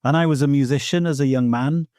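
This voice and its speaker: Middle-aged Welsh man, Welsh native speaker, podcast guest, earnest and reflective, dry close mic, mid pitch. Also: quick pace, a little mellow, no echo. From Wales. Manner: thoughtful, earnest, many "you know" asides. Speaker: guest teacher of Welsh myth. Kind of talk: reflective podcast interview answers.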